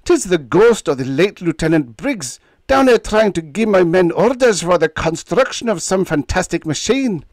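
A man's voice: sits high, animated, moderate pace, few pauses, dry recording, clean studio sound, voice pushed up. A man voicing an indignant, grizzled ship engineer with a Scottish accent and a stiff, formal manner.

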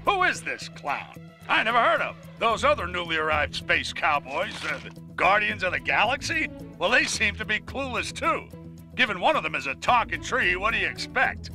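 An actor playing a cranky, blustering middle-aged newspaper boss ranting a bulletin in a cartoon game, brash and indignant. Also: male voice, high voice, very animated, moderate pace, bright, forceful tone, soft background music, full character voice. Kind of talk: cartoon game rant delivered as news bulletin.

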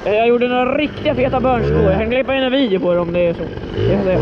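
A man's voice: very high-pitched, expressive. A young man chatting casually while riding a moped outdoors, loose and a bit sweary, recorded on an action camera. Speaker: moped vlogger. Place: outdoors on a moped, bike paths and parking lot. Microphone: helmet or handlebar action camera. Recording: muffled with loud wind and engine noise. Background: moped engine and wind.

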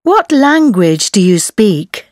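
A woman voicing a lively, very slow pupil. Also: medium pitch, no echo, studio quality. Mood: cheerful. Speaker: textbook audio voice actor. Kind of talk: slow scripted textbook dialogue for children.

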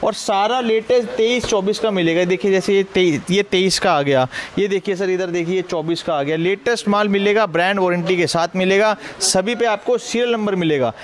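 Male shop salesman, high voice, Delhi Hindi accent, pushy and persuasive warehouse walkthrough. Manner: brisk persuasive, addresses viewer as sir. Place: large electronics warehouse indoors.

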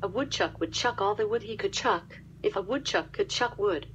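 A calm female smart-speaker assistant, voiced by a woman repeats phrases in an even, synthetic way. The tone is a little husky. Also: medium pitch, normal speed. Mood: flat.

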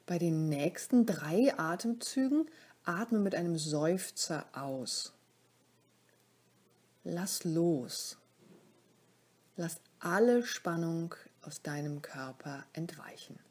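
A woman leading a guided meditation as a yoga nidra teacher, speaking calmly and soothingly in short phrases with pauses, her voice soft, breathy and often close to a whisper. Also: fairly low pitch.

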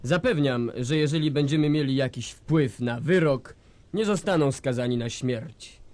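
An actor playing a composed, idealistic guerrilla leader, speaking with declamatory authority in a radio drama. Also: male voice, mid-range voice, moderate pace, darkish tone, no echo, lightly acted. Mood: solemn conviction. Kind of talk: stage-like radio drama acting.

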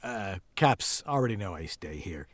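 A woman's voice: very deep voice, animated, moderate pace, heavy background noise. An actress playing a childlike, rambling female squatter in an audio drama. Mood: spacey. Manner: spacey, rambling. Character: odd, childlike female squatter, fixated on shiny things.

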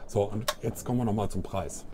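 A man's voice: fairly low pitch, a little fast, noisy background. A middle-aged man giving a casual, colloquial walk-through presentation, talking directly to the viewer on a clip-on microphone. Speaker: vlog host presenting caravans. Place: caravan trade fair hall and inside a caravan. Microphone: wireless clip-on microphone.

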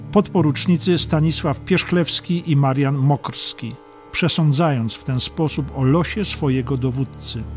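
A man reading a scripted historical audiobook as narrator, in a measured, dramatic storytelling manner. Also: on the high side, darkish tone. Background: music under the narration.

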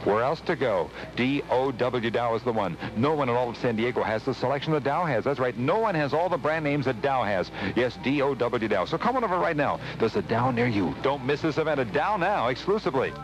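A man's voice: medium pitch, lively intonation, a little fast, hardly any pauses, soft background music. An American man reading a 1980s hard-sell radio ad as an announcer, punchy and emphatic like a salesman. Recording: noisy old radio tape.

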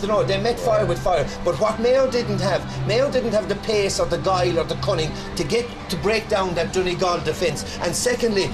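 High, effusive Irish pundit. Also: male voice, normal speed, hardly any pauses, music in the background. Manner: effusive, piles up superlatives. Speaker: studio pundit. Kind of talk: post-match sports analysis.

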